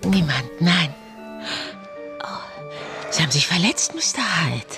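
A woman's voice: lowish voice, very animated, measured pace, pushed and bright, soft background music, full character voice. An actress playing a nervous, gossipy landlady in a German radio drama, sounding breathless and anxious. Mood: curious, uneasy.

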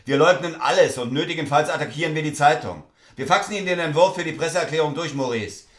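A man's voice: on the high side, quick pace, natural voice. A man playing a brusque, cynical middle-aged businessman father in a drama, clipped, lawyerly and dismissive. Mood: businesslike. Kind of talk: theatrical ensemble dialogue.

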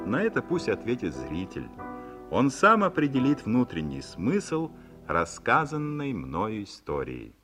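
Brief: male narrator, low and expressive, measured formal reading of stage directions, music underneath. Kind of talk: scripted narration.

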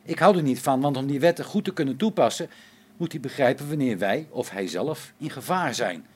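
A man playing a skeptical, wary engineer on a space station in a science fiction radio play, speaking in an informal, expressive way. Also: mid-range voice, a little fast, slightly rough.

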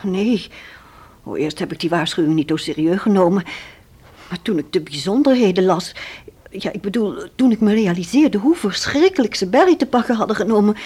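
An actress playing a shaken, frightened woman haltingly recounting an ordeal in a Dutch radio play. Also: mid-range voice, animated, warm tone, husky.

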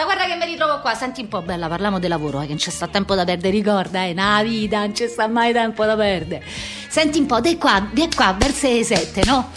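A woman's voice: high-pitched, fairly bright tone. A woman playing a pushy, gushing older hairdresser with a Roman accent in a theatrical monologue. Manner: gushing, bossy, chattering.

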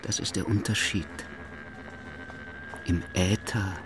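An actor playing a brooding, paranoid man in a theatrical monologue, speaking with halting, conspiratorial intensity about lies all around. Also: low-pitched, fairly even intonation, noisy background.

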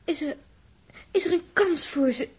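An actress playing a remorseful, distraught young woman, pleading and halting, in a 1960s radio drama. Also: high-pitched. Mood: anguished pleading.

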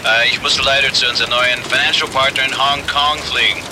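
A man's voice: medium pitch, steady tone, fairly quick, background noise, natural voice. A man playing an evasive foreign investor in a radio satire, fumbling between English and accented German. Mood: evasive, breezy. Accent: English-speaking accent in German.

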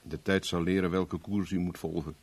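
A man's voice: low voice, fairly even intonation, moderate pace, darkish tone. A man acting a cynical, flirtatious, hard-drinking private investigator in a 1970s Dutch radio play, wry and firm. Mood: wry, teasing. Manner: wry, teasing, evasive, firm when pressed.

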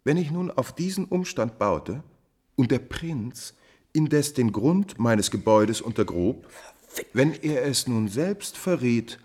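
An actor playing a calculating, coolly insolent court adviser in a classical German radio drama, with a measured, formal, insinuating delivery. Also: male voice, medium pitch, sing-song, darkish tone, slightly rough, exaggerated voice. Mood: coolly lecturing.

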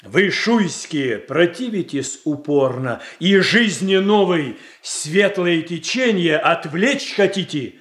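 Slow, higher-voiced, stately statesman. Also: expressive, fairly bright tone. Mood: stern, persuasive. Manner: deliberate, formal, declamatory. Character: measured, self-assured statesman boyar defending his reforms. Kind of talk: theatrical verse acting in a radio play.

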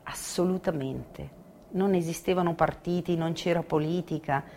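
A woman's voice: fairly low pitch, moderate pace, mellow, a little hiss or hum. An older woman giving spontaneous testimony, recalling her past. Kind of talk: spontaneous recollection.

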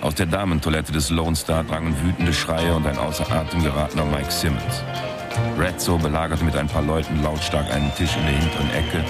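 An actor playing a wry, sardonic New York amateur detective in a German radio crime drama, with a deadpan delivery. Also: male voice, very deep voice. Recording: music behind the voice.

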